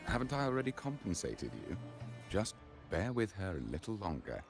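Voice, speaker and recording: A pragmatic gladiator manager from a video game, low-voiced and very expressive in pitch. He is placating and persuasive, speaking over clear music. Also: moderate pace.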